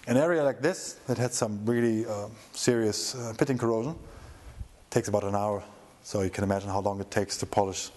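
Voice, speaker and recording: A middle-aged man explaining a restoration project as its presenter, in a halting, thinking-aloud way. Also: mid-range voice, a little mellow, a little hiss or hum.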